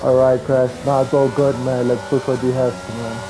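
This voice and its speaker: A man giving live commentary on a sim racing stream, chatty and excitable, talking to viewers in the chat from a home mic. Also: mid-range voice, normal speed, noisy background. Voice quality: says he has a loud voice.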